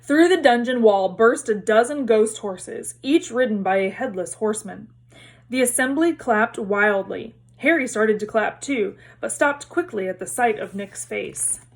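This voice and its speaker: A woman reading a story aloud as narrator, in expressive storybook narration. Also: on the high side, unhurried.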